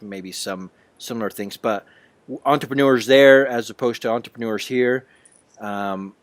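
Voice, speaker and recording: A male podcast host who circles his questions, with restarts and fillers along the way. His voice is low, and the sound is close and dry. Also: dry recording. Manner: halting, rambling questions. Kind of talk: casual podcast interview.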